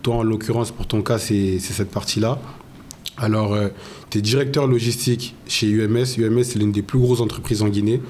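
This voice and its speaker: Podcast host guy, lowish warm voice, kinda hesitant, lots of euh, little bit of hiss behind. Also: steady tone, fairly quick. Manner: casual, hesitant, many fillers. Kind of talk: podcast interview.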